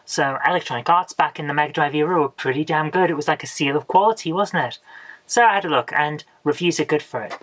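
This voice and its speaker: A woman, hoarse from a coming cold, hosting a casual retro game haul video. She rambles chattily and often ends with tag questions. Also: sits low, fast, no room sound, poor audio. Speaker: retro gaming channel host.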